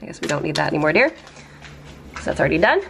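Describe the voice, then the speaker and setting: A woman's voice: sits low, very animated, very fast, vocal fry, a bit bright, a little hiss or hum. Chatty woman cleaning at home. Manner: chatty, self-deprecating, laughs often. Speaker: lifestyle vlogger. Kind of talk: casual clean-with-me narration. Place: kitchen and entryway of a house, indoors.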